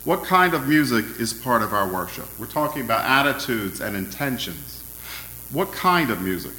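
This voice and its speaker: Loud-voiced preacher in an echoey hall. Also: male voice, medium pitch, big pitch swings, moderate pace, a little hiss or hum. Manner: friendly, asks the congregation questions. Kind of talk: interactive sermon. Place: church hall.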